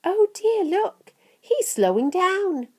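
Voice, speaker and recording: A woman's voice: very high voice, big pitch swings, slow pace, pitched above the performer's own voice, heavily acted. A woman voicing a wide-eyed, observant young boy in a children's storybook, exclaiming. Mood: amazed.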